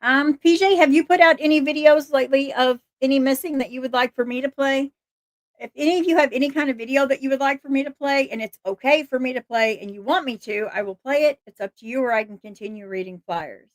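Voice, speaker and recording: A somewhat high-pitched woman hosts a casual livestream, reading flyers and chatting with viewers. Her voice is a little hoarse and she laughs easily, stumbling and restarting words. The sound is dry and close, with no room echo. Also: fast talker. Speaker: livestream host reading missing persons flyers.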